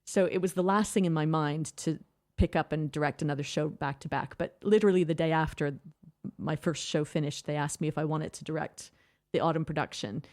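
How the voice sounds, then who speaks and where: A woman's voice: deep voice, steady tone, a little fast, a little mellow. A woman from Canada with a Canadian accent, a theatre director explaining her production in a radio studio interview with enthusiasm and long, flowing answers.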